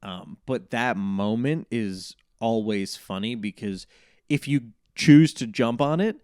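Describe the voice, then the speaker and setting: A man, a comedian, riffing in casual podcast banter as a guest, animated and prone to mock voices. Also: on the low side, slow pace, a little hiss or hum.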